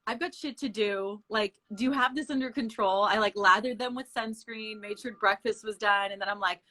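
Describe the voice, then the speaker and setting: A woman in her thirties from the Bay Area, a real estate agent and podcast guest, telling candid, animated stories and laughing often. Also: medium pitch, normal speed. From San Francisco Bay Area. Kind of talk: casual interview chat.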